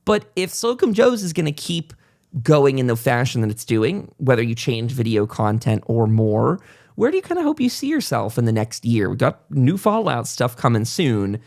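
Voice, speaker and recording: A man's voice: mid-range voice, sing-song, normal speed, creaky, a little mellow. A man hosting a casual interview podcast, talking at length in a reflective, conversational way. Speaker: podcast host.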